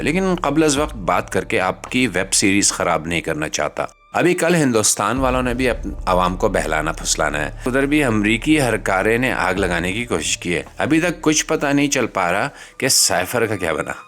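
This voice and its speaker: A man's voice: fairly low pitch, a bit bright. A Pakistani man delivering scripted satirical political commentary in a sardonic, rhetorical manner. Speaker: host and commentator.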